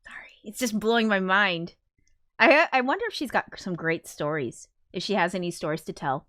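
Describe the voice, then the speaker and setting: A young woman talks casually into a close, dry microphone, a little sick and sniffly. She rambles in a chatty, self-deprecating way, swears now and then, and her voice sits at a middle pitch. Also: expressive, normal speed, fairly bright tone, dry recording. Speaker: livestream host. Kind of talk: casual livestream chat.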